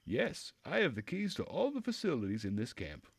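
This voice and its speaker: A man's voice: mid-range voice, wildly swooping pitch, normal speed, vocal fry, slightly rough, dry recording, pitched above the performer's own voice, heavily acted. A man voicing a friendly, polite young woman who works as a camp leader's aide in a visual novel. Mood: friendly, helpful. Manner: polite, caring, chatty.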